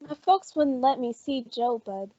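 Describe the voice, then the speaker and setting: A woman acting as an excitable young girl next door in an old-time radio drama, effusive and breathless. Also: high-pitched, measured pace, dry recording, lo-fi sound. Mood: eager.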